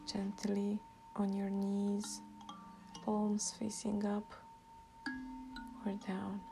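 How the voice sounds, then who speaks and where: A woman guiding a meditation in a soft, hushed, breathy way, leaving long pauses between instructions. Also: medium pitch. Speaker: meditation guide. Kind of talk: guided meditation narration. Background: soft meditation music.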